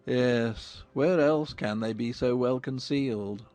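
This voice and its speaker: An actor playing a dry, sardonic middle-aged gentleman father in a dramatized audiobook, speaking deliberately. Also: mid-range voice, soft background music, natural voice. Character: dry, self-reproaching older English gentleman father.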